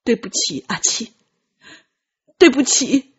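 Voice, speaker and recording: A woman's voice: a little high, animated, takes it slowly, breathy edge, lo-fi sound, pitched above the performer's own voice, exaggerated voice. A woman voicing a lovelorn, protective young prince in an audiobook, speaking earnestly with pained, bitter gravity. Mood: pained, pleading.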